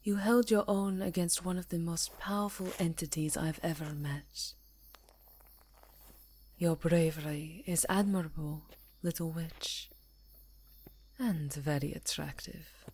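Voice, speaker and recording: A woman's voice: on the low side, fairly even intonation, unhurried, pauses often, a little mellow, husky. A woman playing a haughty, seductive vampire countess who speaks in a poised, teasing, condescending purr in an ASMR fantasy roleplay. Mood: probing, admiring.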